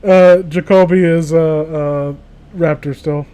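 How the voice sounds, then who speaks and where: A man's voice: fairly high pitch, steady tone, slow, warm tone, smooth. A man hosting a casual show, reading amateur horror stories aloud and breaking off into rambling, opinionated, profane commentary.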